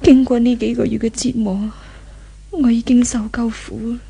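A high-voiced, husky, tormented young woman. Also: little pitch movement, normal speed, mellow. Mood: sorrowful reflection. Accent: Hong Kong Cantonese. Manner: dramatic, breathy monologue with sighs. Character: once-pampered fallen young woman, tormented, weary, remorseful. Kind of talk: 1970s Hong Kong radio drama acting. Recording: 1970s radio drama recording.

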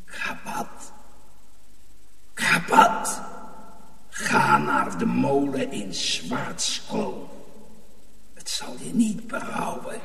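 An actor voicing a ghostly, commanding master in a radio play, with a hoarse, whispery voice calling very slowly. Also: male voice, mid-range voice, wildly swooping pitch, bright, forceful tone, exaggerated voice. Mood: eerie, commanding. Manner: very slow, drawn-out commands. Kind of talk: radio drama acting. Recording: distant, cavernous echo with some noise.

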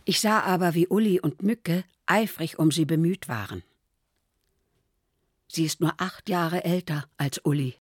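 A woman reading as an elderly mother keeping a wartime diary, calm and measured, in a documentary. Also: fairly low pitch, husky, no echo, clean studio sound. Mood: sober.

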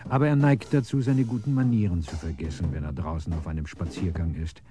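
An actor playing a matter-of-fact local police brigadier in a radio crime drama, formal and circumspect. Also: male voice, sits low, a little fast, lightly acted. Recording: music under the voice.

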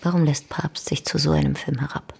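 An actress playing a cool, guarded, melancholy film diva in a radio drama, languid and at times breathy. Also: female voice, low voice, steady tone, fairly quick, a little mellow, full character voice.

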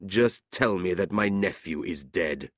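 A man plays a proud, scornful old moneylender with a cold, measured delivery. The sound is dry and close. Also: sits low, animated, no echo. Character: scornful, hard-hearted old male moneylender.

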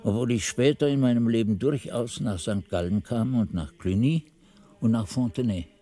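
A man playing a devout, learned monk who recalls his novice days in measured, reverent radio play narration. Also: mid-range voice, mellow, lightly acted. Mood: reverent, descriptive. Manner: measured, reverent, ornate phrasing. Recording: music under the voice.

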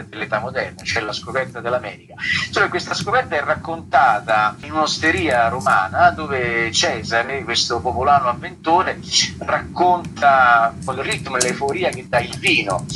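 Articulate man over clear background noise. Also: mid-range voice. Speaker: theatre director and actor, interview guest. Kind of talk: explanatory interview talk.

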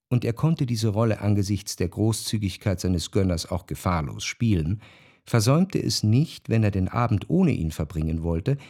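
An actor playing a reflective first-person narrator, delivering measured literary prose for a radio drama. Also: male voice, on the low side, mellow, dry recording, clean studio sound. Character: reflective male narrator recalling his memories, literary and measured.

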